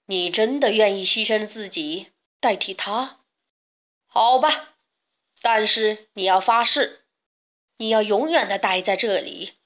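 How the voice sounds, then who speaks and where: A woman's voice: medium pitch, takes it slowly, pauses often, a bit bright. A woman voicing a fierce, cold male beast in a children's storybook, growling and commanding. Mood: doubtful, demanding.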